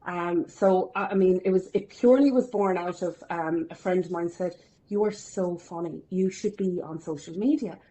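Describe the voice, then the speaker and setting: A woman's voice: on the low side, normal speed, mellow, rough recording. Chatty woman in her forties. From Ireland. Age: 40s. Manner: chatty, upbeat, self-deprecating humour. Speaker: podcast guest. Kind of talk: casual interview storytelling.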